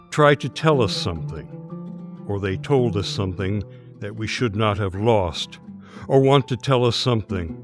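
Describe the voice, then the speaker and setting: A man giving a literary, spoken-word recitation as a performer in a studio piece. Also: on the low side.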